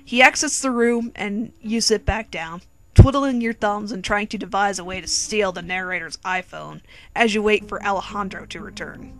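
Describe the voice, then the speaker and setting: A woman's voice: medium pitch, normal speed, a bit bright. A woman narrating a comedic dramatic reading of fan fiction in a theatrical, tongue-in-cheek manner. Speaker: narrator and reader. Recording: quirky music behind.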